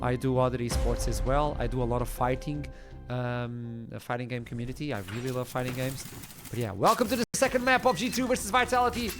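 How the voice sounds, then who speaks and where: A man from Portugal doing excitable play-by-play commentary on an esports match. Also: mid-range voice, fairly quick, over music. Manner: excitable, builds to shouts at big plays. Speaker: esports caster.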